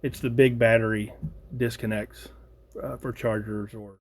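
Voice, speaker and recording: Halting American man thinking aloud. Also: mid-range voice, little pitch movement, a little mellow. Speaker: hobbyist video host. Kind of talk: casual unscripted project walkthrough.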